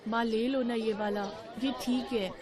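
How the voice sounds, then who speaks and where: A woman voicing an eager, sweet little schoolgirl in a cartoon story, pleading and excitable. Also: fairly high pitch, a little slow, crowd noise, close to an everyday voice.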